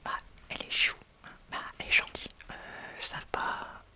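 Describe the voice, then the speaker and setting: An ASMR creator whispering casual chat in a hesitant, halting way, often searching for words. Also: pushed and bright.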